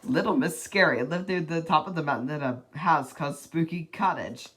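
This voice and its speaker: A person reading a children's storybook aloud in a deliberate, dramatic way, as an amateur narrator. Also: medium pitch, fairly bright tone, slight background noise.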